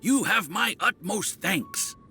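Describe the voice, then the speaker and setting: A man's voice: high-pitched, lively intonation, takes it slowly, pushed and bright, faint music behind, full character voice. An actor playing an eager, ingratiating male landlord in an anime video game, effusive and polite. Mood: jovial, grateful.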